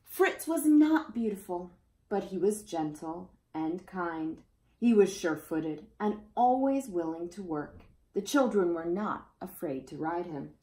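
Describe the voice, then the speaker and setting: A woman's voice: fairly low pitch, lively intonation, measured pace, mellow. A woman reading a children's picture book aloud expressively, as storyteller to young listeners. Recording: roomy, echoey indoor sound.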